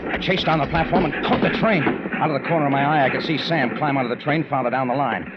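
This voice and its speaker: A man's voice: medium pitch, fast, natural voice. An American man playing a tough, wry adventurer in an old radio detective drama, telling his case in clipped slang. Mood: urgent, tense. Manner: clipped hardboiled slangy delivery. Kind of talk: 1940s-50s radio detective acting with first-person narration. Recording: dull old radio recording with music.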